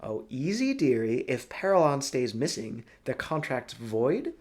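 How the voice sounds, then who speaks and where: A man's voice: mid-range voice, big pitch swings, measured pace, darkish tone. A man voicing a flamboyant, snide, teasing character who manages a city, in a storybook reading with mocking exclamations. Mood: teasing, fussy.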